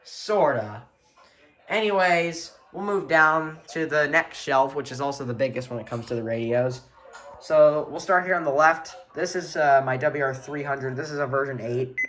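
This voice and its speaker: A man's voice: a little high, moderate pace, a bit bright. A man giving a casual show-and-tell tour of a radio collection as an enthusiastic hobbyist.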